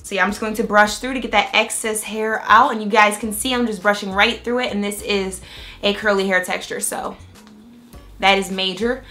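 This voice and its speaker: A young woman vlogging a hair tutorial in a chatty, excited way, walking through each step, her voice a little raspy from a cold. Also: medium pitch, moderate pace, faint music behind. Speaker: beauty vlogger. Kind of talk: casual hair tutorial and review.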